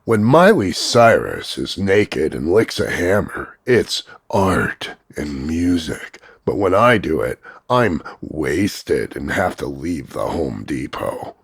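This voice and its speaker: Gravelly, cocky macho action hero. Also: male voice, sits low, no echo, studio quality, exaggerated voice. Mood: deadpan, mocking. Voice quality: gravelly, husky. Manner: deadpan, smug, drawn-out delivery of punchlines. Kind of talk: voice-over demo of insults and one-liners.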